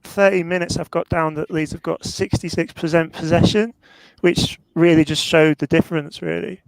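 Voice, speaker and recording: Hesitant man with a gravelly voice. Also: on the high side, steady tone, normal speed, dry recording, poor audio. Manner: hesitant, thoughtful, many fillers. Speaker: podcast guest. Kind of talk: casual football chat.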